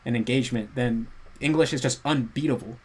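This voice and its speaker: A man's voice: mid-range voice, a little fast, a little mellow, slightly rough. A man, a guest in a casual chat, earnestly explaining at length. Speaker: guest language learner.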